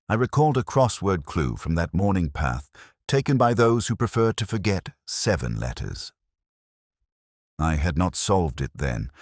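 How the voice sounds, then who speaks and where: A man reading a scripted first-person detective story as its narrator, in a measured, reflective, literary manner. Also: a bass voice, dry recording, clean studio sound.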